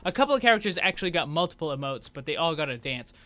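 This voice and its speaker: A man's voice: on the high side, very animated, rapid-fire, sharp tone. A man hosting a gaming video, giving casual, conversational commentary as he explains what is on show.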